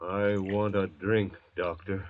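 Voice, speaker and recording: An actor playing a surly, defiant young convict in an old-time radio drama, blunt, sneering and demanding. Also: male voice, deep voice, flat delivery, takes it slowly. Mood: defiant, resentful. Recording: dull vintage radio recording.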